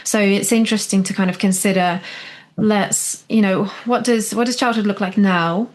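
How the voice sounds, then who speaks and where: A woman's voice: mid-range voice, fairly even intonation, normal speed, low-quality recording. A woman hosting a podcast, a supportive listener who offers frequent backchannels and then reflects at length. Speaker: podcast host. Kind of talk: casual conversation.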